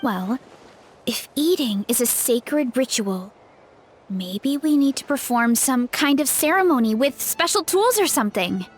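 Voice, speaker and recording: A woman playing a gentle, naive young warrior in a game, guileless and hesitant in manner. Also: sits high, expressive, unhurried, soft background music. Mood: wondering.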